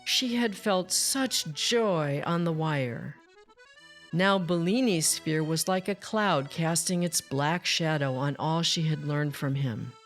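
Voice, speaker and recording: Woman storyteller, unhurried pace, lowish voice, deliberate children's read-aloud, soft music behind. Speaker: storytime host, children's book reader. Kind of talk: expressive scripted read-aloud narration for children.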